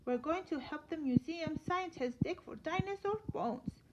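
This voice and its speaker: A woman voices a kindly, cheerful storybook man who invites and encourages. The voice is high and slow, and the sound is a little dull. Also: animated. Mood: excited, inviting. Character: cheerful man in a yellow hat, kindly companion. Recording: dull lo-fi sound with light noise.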